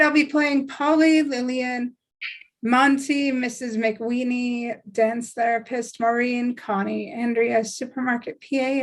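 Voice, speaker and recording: A woman from Alberta, Canada, introducing herself and chatting casually. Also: fairly high pitch. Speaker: actress and writer.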